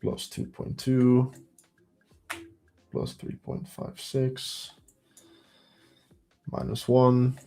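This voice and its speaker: A man's voice: mid-range voice, completely flat delivery, drawn-out words, gravelly, long pauses, mellow, faint music behind. A man with a heavy Belgian accent hosting a live trading stream, thinking aloud as he counts through trades and results.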